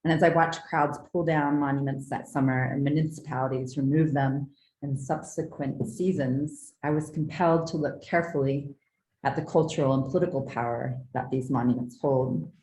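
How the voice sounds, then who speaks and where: Female artist presenter, very low register, measured and formal scripted delivery, reverberant room sound. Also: steady tone, a little mellow, slight background noise. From southeastern United States. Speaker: artist presenting own work. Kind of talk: prepared artist talk, read from notes. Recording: roomy, echoing sound.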